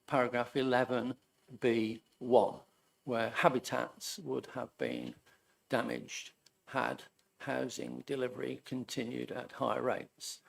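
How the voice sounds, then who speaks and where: A man making a measured, deferential statement at a public hearing, addressing the chair as sir. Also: medium pitch, level tone, vocal fry, low-quality recording. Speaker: hearing participant.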